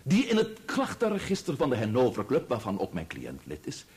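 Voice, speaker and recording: An actor playing a formal, grave and indignant barrister in a radio drama, speaking in pompous, oratorical courtroom diction. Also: male voice, mid-range voice, wildly swooping pitch, fairly quick, a little mellow, slightly rough, full character voice.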